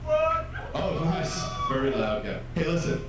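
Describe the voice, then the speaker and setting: A man's voice: a little high, wildly swooping pitch, measured pace, very echoey, recorded from a distance, noisy background. A male stand-up comedian doing bemused, chatty crowd work.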